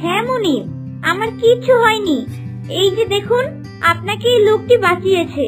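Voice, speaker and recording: A woman's voice: very high voice, normal speed, over music. A woman voicing a small, loyal talking horse in a cartoon, eager and pleading in manner. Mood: delighted, eager. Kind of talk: cartoon story dubbing.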